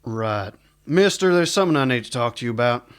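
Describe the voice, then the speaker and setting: A man's voice: mid-range voice, lively intonation, fairly quick, smooth, lightly acted. A man playing a laconic, wry, no-nonsense older US marshal in a western radio serial. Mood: exasperated. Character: dry, weary, no-nonsense older Wild West marshal.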